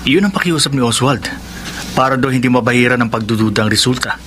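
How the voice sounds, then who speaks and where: An actor playing a fair-minded, level-headed friend in a radio drama. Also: male voice, mid-range voice, fast talker, fairly bright tone, slight background noise, close to an everyday voice. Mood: calm, assuring. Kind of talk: Filipino radio drama acting.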